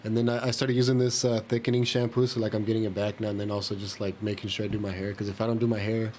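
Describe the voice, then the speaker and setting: A man chatting casually on a podcast in slangy, somewhat halting speech. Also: fairly low pitch, flat delivery, darkish tone, slight background noise. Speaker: podcast co-host.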